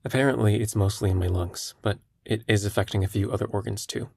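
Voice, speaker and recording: A man playing a terminally ill young patient in an intimate audio drama, speaking in a husky, creaky voice with a halting, understated manner. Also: on the low side, steady tone, darkish tone, no room sound. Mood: hesitant, subdued. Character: young terminally ill male hospital patient, numb, gently self-deprecating.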